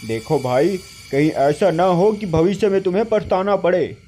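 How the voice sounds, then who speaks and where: A man's voice: high voice, expressive, moderate pace, dark tone, a little hiss or hum. A man voicing an earnest elder brother, a village farmer, in cartoon dialogue. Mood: earnest, chiding. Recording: music behind the voice.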